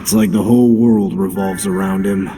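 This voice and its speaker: An actor playing a dry, skeptical warrior companion in a video game cutscene, with a wry, questioning manner. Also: male voice, deep voice, little pitch movement, normal speed, warm tone, slight background noise, natural voice. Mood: challenging, wry. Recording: long hall reverb, music behind. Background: game music.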